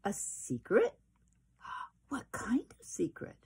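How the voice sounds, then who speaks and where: A woman's voice: medium pitch, wildly swooping pitch, takes it slowly, creaky, darkish tone, slightly rough, whispered, full character voice. A woman voicing a sad, reluctant little boy raccoon in a children's storybook, pleading. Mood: curious.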